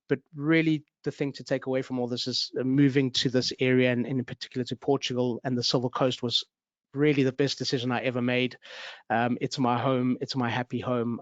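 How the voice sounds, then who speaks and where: A man's voice: medium pitch, dry recording, lo-fi sound. Man hosting a webinar. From South Africa. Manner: friendly, rambling, many fillers and restarts. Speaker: webinar host, realtor. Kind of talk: online webinar presentation.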